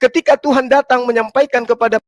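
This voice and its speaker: A man's voice: extremely high pitch, steady tone, fast talker, no room sound, dull audio. A man debating religion assertively, with emphatic declarations and short, repeated verdicts.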